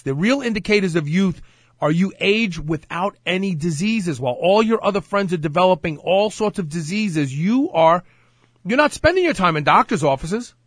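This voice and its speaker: A man's voice: high-pitched, a bit bright, dry recording, studio quality. A man in his fifties hosting a radio talk show, chatting energetically and proudly about getting older. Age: 50s. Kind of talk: casual radio show chat.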